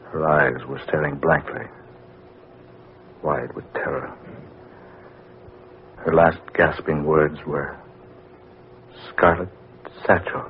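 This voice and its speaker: An actor playing a grave man reading a sinister crime account, solemn and deliberate, in 1940s radio drama style. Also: a little hiss or hum. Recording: rumbly, tinny old radio recording.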